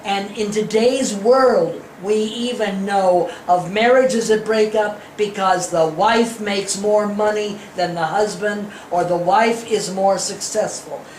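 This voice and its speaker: A woman offering a deliberate spoken critique of a play in a group discussion. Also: medium pitch, darkish tone. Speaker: audience member giving feedback on a play. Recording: echoey room with faint noise.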